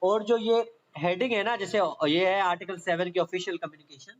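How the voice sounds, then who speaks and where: A man's voice: high voice, brisk, muffled recording. A man with a Pakistani accent giving an explanatory law lecture, reading aloud and then explaining, repeating points and often checking that listeners follow. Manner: repetitive, checks understanding often.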